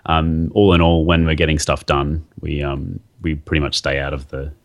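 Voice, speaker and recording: A man's voice: extremely low pitch, flat delivery, moderate pace. A man interviewed as a guest artist, talking casually and hesitantly, with many fillers and restarts.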